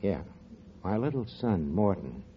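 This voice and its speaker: A scheming wisecracking tavern manager. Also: male voice, low voice, very animated, a little slow, slight background noise. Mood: mock-sad. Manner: wisecracking, fake sincerity, ungrammatical. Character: fast-talking scheming tavern manager, middle-aged man. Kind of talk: 1940s radio comedy acting. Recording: dull old radio broadcast, faint audience laughter.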